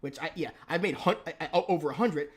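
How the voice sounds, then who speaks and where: A man's voice: on the high side, fast. Stream host, male, stutters and restarts, casual and earnest delivery. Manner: stutters, repeats words, restarts often. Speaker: stream host and moveset creator. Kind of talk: casual live stream chat.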